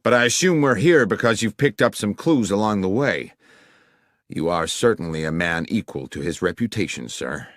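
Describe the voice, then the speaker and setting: A man's voice: fairly low pitch, animated, normal speed, fairly bright tone, no echo, clean studio sound. A man voicing a polite, unsettled Victorian railway official in an audiobook, courteous and somewhat flustered. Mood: courteous, baffled.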